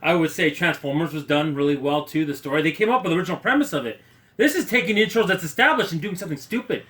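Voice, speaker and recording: Fast, bright, high-pitched man, very animated. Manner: incredulous, dismissive. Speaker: podcast co-host. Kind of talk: casual review chat, ranting commentary.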